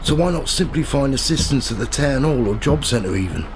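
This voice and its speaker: A man's voice: mid-range voice, animated, a little fast, noisy background, natural voice. A man playing a nosy, cynical, pushy reporter in a radio play, with slangy, sardonic streetwise talk. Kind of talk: audio drama dialogue and first-person narration.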